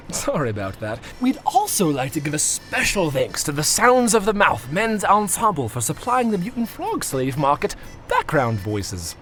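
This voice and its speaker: Man playing a theatrical, lively, pompous inspector-announcer. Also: high voice, measured pace, exaggerated voice. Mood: grandiose. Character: pompous, eccentric chief inspector hosting the show. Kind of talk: comic scripted narration, reading credits.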